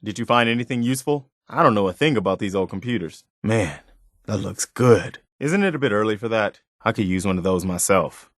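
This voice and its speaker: A man's voice: lowish voice, lively intonation, brisk, dry recording, clean studio sound, lightly acted. A man playing an earnest, loyal militia companion in a video game, plain-spoken, caring and given to moralizing. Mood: casual, attentive.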